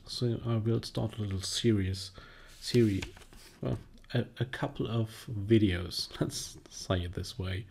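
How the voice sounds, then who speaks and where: Non-native English-speaking man, low gravelly voice, halting delivery, commentary vlog host. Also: darkish tone. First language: not English. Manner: halting, many fillers and restarts. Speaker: video commentator. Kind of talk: casual spoken commentary.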